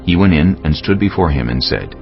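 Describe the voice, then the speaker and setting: A man narrates scripture in an extremely deep voice with a creaky grain. His delivery is varied in pitch. Quiet music plays behind the slightly muffled recording. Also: animated, brisk.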